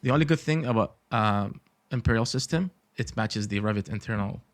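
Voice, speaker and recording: A man's voice: lowish voice, brisk, no room sound. A man from Iraq, an architect in his forties, chatting as a podcast guest, with many fillers and dry humor. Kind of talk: casual interview talk.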